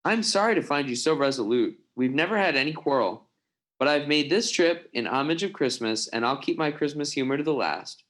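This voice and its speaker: A man's voice: fairly high pitch, lively intonation, brisk, fairly bright tone. A man playing a kind, cheerful and earnest nephew who loves Christmas, pleading expressively in an amateur radio play. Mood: regretful, cheerful. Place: performed remotely over a video call. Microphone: video call.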